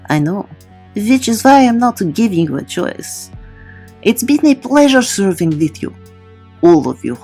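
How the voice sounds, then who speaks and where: A woman's voice: mid-range voice, very animated, unhurried, dark tone, soft background music. A woman playing a resolute, self-sacrificing supernatural figure in an audio drama, firm and formal. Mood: firm, resigned.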